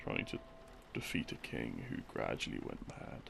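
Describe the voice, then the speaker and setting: A man telling a story in a rambling, intimate boyfriend-roleplay style, halting and musing as his thoughts trail off. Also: extremely low pitch, animated, gravelly, fairly bright tone, slight background noise. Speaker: audio roleplay performer playing a boyfriend. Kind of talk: rambling, improvised intimate storytelling of game lore. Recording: music behind the voice. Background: slow game theme music.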